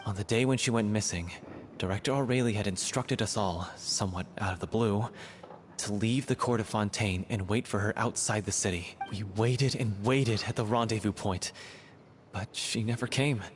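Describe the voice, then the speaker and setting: A man's voice: lowish voice, moderate pace, lightly acted. Man as an earnest troupe actor, noisy background. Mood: somber. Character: earnest grieving young theatre troupe actor, heartfelt storyteller. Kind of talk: video game voice acting.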